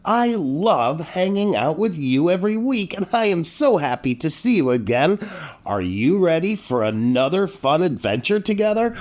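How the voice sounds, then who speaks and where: Children's host, older man, high lively voice, exaggerated playful delivery for dad jokes and story introductions. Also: normal speed. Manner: theatrical, exaggerated, addresses young viewers directly.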